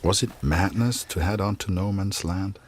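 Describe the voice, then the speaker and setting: Actor as deep-voiced, solemn soldier narrator. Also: male voice, a little hiss or hum, close to an everyday voice. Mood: grieving, reflective. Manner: solemn, measured. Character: grave, weary German soldier, a father narrating. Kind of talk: scripted dramatic narration, letter-like.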